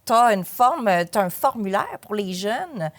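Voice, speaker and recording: A woman's voice: mid-range voice, very animated, a little slow. A woman with a Quebec French accent conducting a casual interview, asking informal questions and following attentively.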